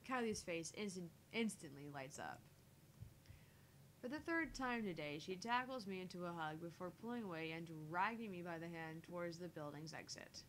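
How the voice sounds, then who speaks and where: A woman's voice: on the low side, animated, moderate pace, a bit bright, slight background noise, low-quality recording. A woman streamer reading a visual novel aloud in first-person narration with casual asides.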